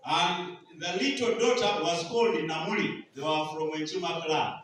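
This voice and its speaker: A man's voice: a little high, moderate pace, fairly bright tone, a little hiss or hum. A Ugandan man preaching a sermon, often asking the congregation to finish his sentences in a call-and-response style. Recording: echoey hall, voice from far off.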